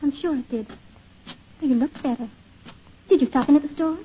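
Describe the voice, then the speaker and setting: An actress playing a caring, anxious, gently coaxing housewife in an old-time radio drama. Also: female voice, high voice, moderate pace, natural voice. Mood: concerned. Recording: muffled, faintly noisy old radio.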